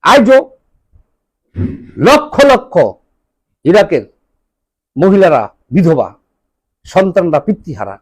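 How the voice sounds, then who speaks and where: A man's voice: high-pitched, dry recording, low-quality recording. A man with a Bangladeshi accent delivering an impassioned political monologue, emphatic and scolding, throwing out rhetorical questions. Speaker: political commentator.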